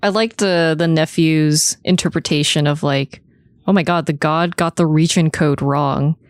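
A woman's voice: low-pitched, fairly even intonation, measured pace, dry recording, studio quality. A woman on a podcast panel in casual anime chat, talking informally with swearing and lots of "like". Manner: informal, sweary, uses "like" often.

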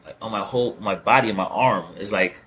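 Bright-voiced joking man. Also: lowish voice, brisk, breathy edge. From Guyana. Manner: teasing, rambling, joking. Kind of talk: casual chat.

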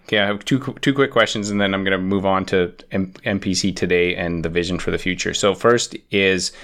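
A man's voice: low-pitched, fairly even intonation, fairly quick, fairly bright tone. A man hosting an interview, asking brief questions and affirming the answers. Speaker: interview host. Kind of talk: conversational interviewing.

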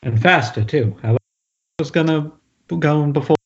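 A man's voice: fairly high pitch, unhurried. Man playing a blundering film director, muffled line. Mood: insistent. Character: stubborn, sheepish, blundering male film director. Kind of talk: amateur screenplay table read over video call. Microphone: internet video call. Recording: dull, noisy internet call, clicks.

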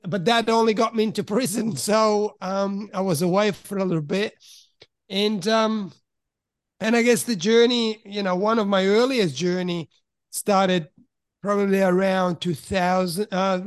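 A middle-aged man from Italy, raised in Switzerland, frankly telling his life story as a podcast guest and often pausing to search for words. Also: high-pitched, steady tone, clear, resonant tone, no echo. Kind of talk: candid personal storytelling in conversation.

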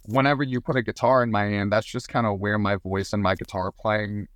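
A man's voice: lowish voice, level tone, a little fast, dry recording, clean studio sound. A man from Southern Maryland, a singer-songwriter guest, talking casually with frequent restarts and fillers. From Southern Maryland, USA. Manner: chatty, many restarts and fillers. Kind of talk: casual podcast conversation.

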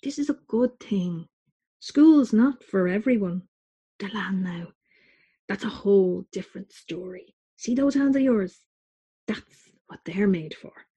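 A woman's voice: mid-range voice, expressive, a little slow, breathy edge, muffled recording. A woman doing a kind big brother. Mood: soft reassuring. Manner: gentle, coaxing and reassuring. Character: kind young man, a protective big brother.

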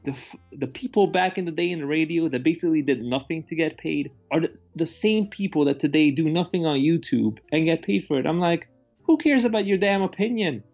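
Gravelly-voiced man ranting casually about films. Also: a little high, lively intonation, fairly quick, darkish tone. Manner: sweary, scornful rants. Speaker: film discussion show co-host. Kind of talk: casual opinionated film discussion. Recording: dull lo-fi mic, faint music.

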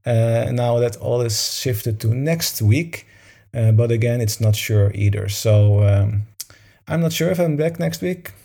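A man's voice: medium pitch, measured pace, gravelly, warm tone. A man leading a meditation session, talking informally to a group in a soothing, encouraging manner.

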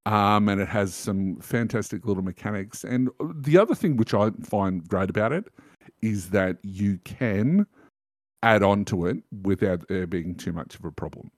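A man's voice: on the low side, expressive, normal speed, no room sound, clean studio sound. A man in his fifties chatting as a podcast guest, enthusiastic as he recommends something. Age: 50s. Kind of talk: casual podcast chat.

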